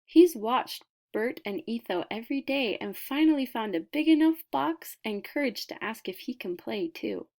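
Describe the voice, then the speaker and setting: A woman reading a children's picture book aloud expressively, deliberate in her delivery, with dramatic pauses and emphasis. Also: on the high side, expressive, a little mellow.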